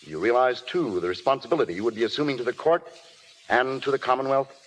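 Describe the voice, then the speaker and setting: Guy as stern formal judge, clipped official talk, pitch moves a lot, faint hissy old radio recording. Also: lively intonation, quick pace, close to an everyday voice, low-quality recording. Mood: grave, formal. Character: stern, grave, formal night court judge. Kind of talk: 1930s-40s radio drama acting.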